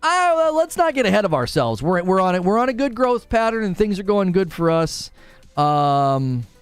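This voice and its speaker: A man's voice: high-pitched, big pitch swings, normal speed, clear, resonant tone, no room sound, soft background music. A man hosting a gaming livestream, talking casually and informally to his viewers and reading their comments aloud. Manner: informal, slangy, addresses viewers directly. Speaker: gaming podcast host and livestreamer.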